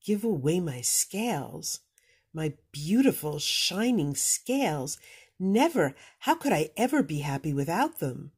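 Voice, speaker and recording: A woman's voice: low voice, very animated, measured pace, a little mellow, breathy edge, exaggerated voice. A woman voicing a vain, self-pitying male rainbow fish in a children's storybook with haughty, dramatic delivery. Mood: reluctant dismay.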